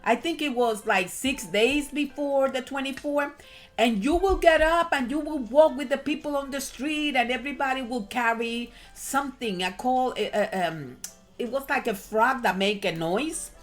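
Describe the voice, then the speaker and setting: Puerto rican lady, middle-aged, chatty guest telling stories, lots of "you know", soft music behind. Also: high voice, moderate pace. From Puerto Rico. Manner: animated storytelling, many fillers. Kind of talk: casual chat.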